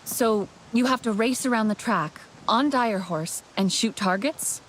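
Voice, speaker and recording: An actress playing a calm, helpful young warrior heroine in a game, easygoing, inquisitive and gently teasing. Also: female voice, a little high, a little slow, a little hiss or hum, close to an everyday voice. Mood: calm, curious. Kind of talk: naturalistic game dialogue.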